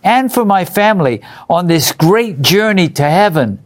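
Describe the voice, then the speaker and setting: A man's voice: high-pitched, sing-song, unhurried. A man hosting a religious study program, reading out viewer letters and putting questions to his group and viewers.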